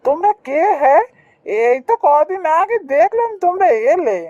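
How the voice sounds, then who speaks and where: A woman's voice: very high-pitched, sing-song, normal speed, mellow, no echo. A woman voicing a chatty, inquisitive male neighbour in a Bengali cartoon, with emphatic delivery. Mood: curious. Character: nosy, chatty male neighbour, an eager hungry customer. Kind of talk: Bengali cartoon story dialogue. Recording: dull cartoon audio with music.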